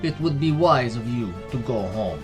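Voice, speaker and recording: A man voicing a calm, quietly stern male dragonborn warrior in a fantasy audio story. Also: medium pitch, normal speed, lightly acted. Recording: music behind the voice.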